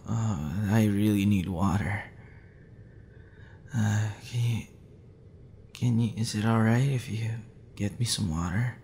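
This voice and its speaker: A man's voice: on the low side, darkish tone, a little hiss or hum. A man playing a drunk, flustered young man with a tender streak, stammering and rambling in an intimate ASMR roleplay. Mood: flustered, tipsy. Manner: stammering, halting, tipsy rambling with breathy sighs.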